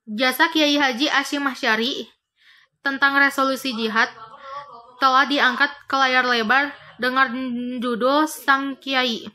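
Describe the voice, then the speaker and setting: Young woman student reading a lesson text aloud, crisp and bright, high in pitch. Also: fairly even intonation, slow pace.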